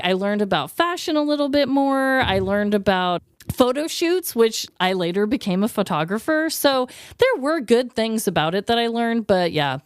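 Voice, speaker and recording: A smooth, clear-voiced American woman hosts a casual podcast, recorded close and dry. Her delivery is animated and full of pitch movement. She tells personal stories candidly and chattily, with swearing thrown in. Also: no room sound. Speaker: podcast host.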